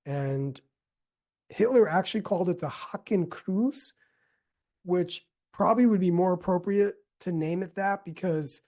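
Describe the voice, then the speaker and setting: Mellow, earnest American man teaching. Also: sits high. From United States. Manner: earnest, deliberate, repeats phrases for emphasis. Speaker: yoga teacher and speaker. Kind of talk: reflective spoken talk with personal storytelling.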